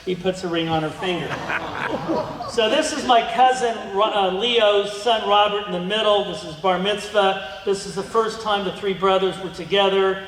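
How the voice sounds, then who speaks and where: A man's voice: high voice, moderate pace, a bit bright, slight background noise. A middle-aged man giving a rambling museum talk about his family, full of ums and restarts. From United States. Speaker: guest speaker. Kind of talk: museum lecture with slides. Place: museum lecture room. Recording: echoey hall with long reverb.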